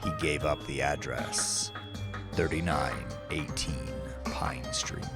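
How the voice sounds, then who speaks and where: A man narrating his own dark novel like a dramatic audiobook, brooding and deliberate, with gravelly phrases that often sink toward breathiness. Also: a bass voice, fairly even intonation. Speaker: novelist reading own novel, podcast host. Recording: faint music bed under voice. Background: faint music.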